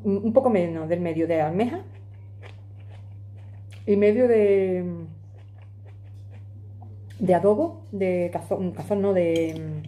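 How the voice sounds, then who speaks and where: A woman's voice: mid-range voice, mellow, slight background noise. A woman in her forties vlogging from her home kitchen, chatting to a phone camera while she eats. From Madrid, Spain. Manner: chatty, emphatic, talks with her hands. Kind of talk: casual chat to camera while eating. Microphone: phone camera on the table.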